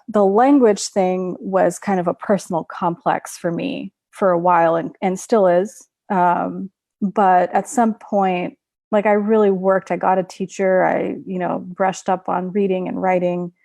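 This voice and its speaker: Woman in her 30s on a webinar call, lots of ums and restarts, lowish gravelly voice, dry sound. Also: darkish tone, no echo. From Russia. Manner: chatty, many fillers and restarts. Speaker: guest. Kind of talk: webinar conversation. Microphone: video call.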